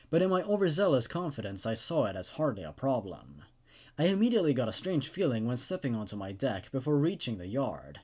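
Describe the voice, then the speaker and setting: Male horror narrator, mid-pitched with very mobile intonation, measured dramatic read of first-person scripted fiction. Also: darkish tone.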